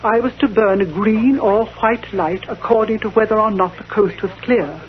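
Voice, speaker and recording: An actress playing a grieving, vengeful diplomat's widow in a radio drama, speaking formally and with dramatic passion. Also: female voice, mid-range voice, moderate pace, lightly acted. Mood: tense recounting. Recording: dull old radio recording.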